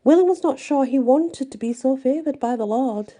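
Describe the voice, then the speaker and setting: A woman narrating a scripted folk tale in a measured storybook manner, with deliberate pauses between phrases. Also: high-pitched, mellow.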